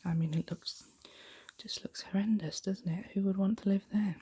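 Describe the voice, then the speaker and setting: Breathy whispering woman reading a bedtime story. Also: medium pitch, steady tone. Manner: slow, gentle, hushed. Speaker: ASMR storytelling host. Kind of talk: soft-spoken ASMR bedtime story reading.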